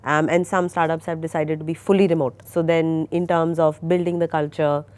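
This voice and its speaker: A woman's voice: low-pitched, level tone, brisk, darkish tone, no echo. Woman moderating a startup panel. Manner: asks long questions that trail off.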